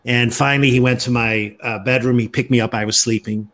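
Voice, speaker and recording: Man, fifties, podcast guest; measured storyteller, mid-pitched, pauses often. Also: fairly even intonation, fairly bright tone. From Northern Ireland. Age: 50s. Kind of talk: storytelling interview.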